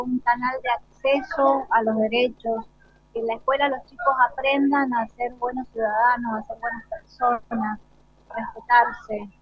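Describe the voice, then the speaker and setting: A woman's voice: a little high, dry recording. An Argentine woman speaking as a school director in a spontaneous interview, earnest and explanatory. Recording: dull lo-fi live radio recording.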